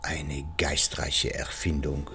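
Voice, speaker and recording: An actor playing a courteous, probing foreign detective in a radio drama, questioning politely and deliberately. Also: male voice, rumbling low, level tone, bright, forceful tone, soft background music, heavily acted. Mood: musing. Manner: polite, formal, deliberate questioning with small hesitations.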